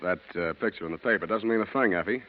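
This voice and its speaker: An actor with an American accent playing a wisecracking, evasive hard-boiled private detective in 1940s radio style. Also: male voice, on the low side, quick pace, natural voice. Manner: glib, hesitating with playful excuses. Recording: dull, lo-fi 1940s radio recording.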